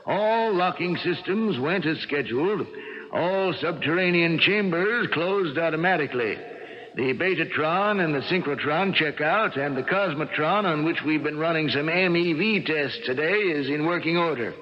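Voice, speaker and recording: An American actor playing an earnest, puzzled laboratory colleague, with hesitant, expressive radio drama delivery. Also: male voice, on the high side, moderate pace, close to an everyday voice. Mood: brisk, alert. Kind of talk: 1980 radio drama acting. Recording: dull old radio broadcast, faint noise.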